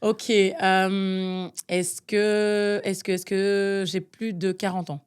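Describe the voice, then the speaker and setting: A woman's voice: mid-range voice, level tone, very slow, clear, resonant tone. A young woman from Nigeria co-hosting a podcast, chatting through a guessing game, thinking aloud with lots of fillers and getting exasperated. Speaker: podcast co-host. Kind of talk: casual chat, guessing game.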